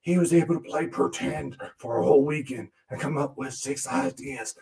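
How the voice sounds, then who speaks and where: A man doing a gravelly, foul-mouthed demon puppet. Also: a little high, sing-song, normal speed, darkish tone. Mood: sarcastic. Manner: crude, grumbling, sarcastic. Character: crude sarcastic male demon puppet. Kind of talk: comic monologue in character.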